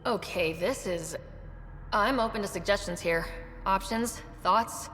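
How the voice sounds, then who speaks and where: A woman's voice: medium pitch, unhurried, fairly bright tone, long reverb tail, slight background noise, lightly acted. A woman playing a young space explorer leading her team in a video game, casual, wry and direct. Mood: curious, questioning. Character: young female space explorer team leader, curious and decisive.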